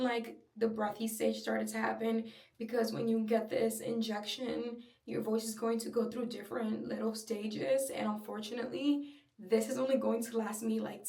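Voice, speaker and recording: A young woman with a slightly breathy voice, chatting openly and personally in a casual home vlog. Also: on the high side, mellow, recorded from a distance. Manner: chatty, filler-heavy, open and personal. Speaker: vlogger. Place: at home, in her room. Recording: roomy echo, a little off-mic.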